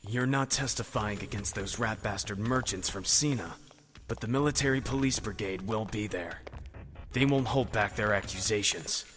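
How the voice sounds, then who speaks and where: A man playing a curt, foul-mouthed soldier in an audio drama, deadpan and scornful. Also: lowish voice, moderate pace, big-room sound, lightly acted. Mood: grave.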